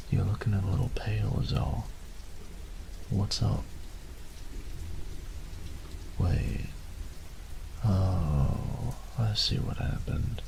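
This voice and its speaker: Man playing a very deep, gravelly tender roommate. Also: slight background noise, exaggerated voice, rough recording. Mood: concerned, comforting. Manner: soft breathy murmurs, teasing pet names. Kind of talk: intimate romantic audio roleplay. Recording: rain and thunder ambience behind voice. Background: rain and thunder.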